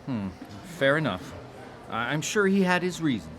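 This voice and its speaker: A man's voice: fairly high pitch, very animated, normal speed, a bit bright. A man playing a naive, pious, awkward nice guy on a date, hesitant and stammering, in a live radio drama. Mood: earnest.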